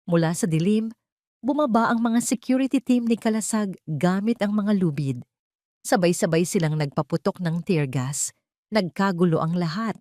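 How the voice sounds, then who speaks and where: Low-pitched woman narrating suspenseful drama. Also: normal speed, a little mellow, no room sound, studio quality. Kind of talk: dramatic scripted story narration.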